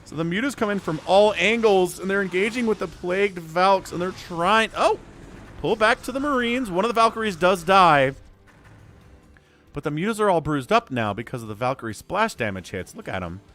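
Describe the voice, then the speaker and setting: A man calling a live strategy game match solo, his voice a little hoarse and stuffy from a cold, swinging from calm analysis to loud exclamations. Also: sits high, moderate pace, soft background music. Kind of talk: live esports play-by-play commentary.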